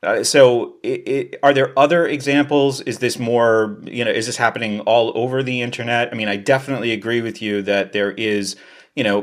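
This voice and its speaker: Thoughtful man hosting a podcast. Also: mid-range voice. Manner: thoughtful, measured, hedging. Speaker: podcast host. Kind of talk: casual interview talk.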